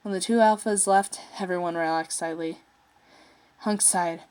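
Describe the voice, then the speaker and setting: A woman's voice: fairly low pitch, steady tone, moderate pace, a little mellow, smooth. A woman narrating a piece of fiction, reading it aloud from a written text. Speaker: story narrator, fan fiction writer.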